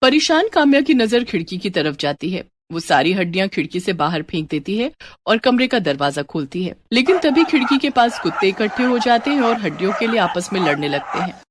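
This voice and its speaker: A woman's voice: medium pitch, big pitch swings, brisk, background noise. A woman narrating a scripted story as its storyteller.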